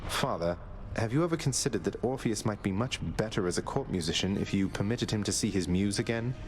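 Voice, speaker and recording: An actor playing a young underworld prince who talks in a laid-back, wry, polite way. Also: male voice, fairly low pitch, lively intonation, fairly quick, lightly acted.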